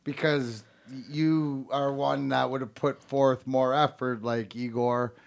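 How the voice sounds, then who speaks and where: A man's voice: mid-range voice, level tone, slow, creaky. A man interviewing a guest in casual chat, rambling with many restarts and casual swearing.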